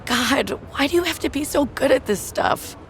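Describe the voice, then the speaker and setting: Woman acting a high, expressive, brash young woman. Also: moderate pace, heavily acted. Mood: tearful. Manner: emotional, blunt, expressive. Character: brash, sentimental young woman, foul-mouthed but loving. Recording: clear vehicle noise behind.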